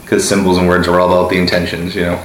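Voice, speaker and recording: A young man co-hosting a casual podcast, joking and teasing, with a voice he calls booming. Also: completely flat delivery, rapid-fire. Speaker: podcast co-host. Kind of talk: casual chat. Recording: very echoey room, faint noise.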